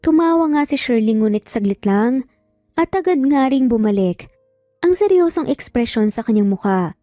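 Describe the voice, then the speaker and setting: A Filipino woman reads a dramatic story as its narrator. Her voice is fairly high and soft-edged, recorded close and dry over faint background music. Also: expressive, moderate pace, no room sound.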